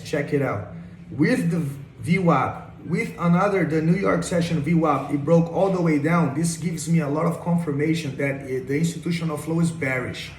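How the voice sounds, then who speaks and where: A young man with a Brazilian accent explains a trade, upbeat and eager, often pointing the listener to the screen. His voice sits a bit high for a man. The room is echoey, with a faint hiss behind him. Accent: Brazilian Portuguese. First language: Portuguese. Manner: enthusiastic, repeats phrases like "check this out". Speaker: day trader. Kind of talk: screen-share trade breakdown.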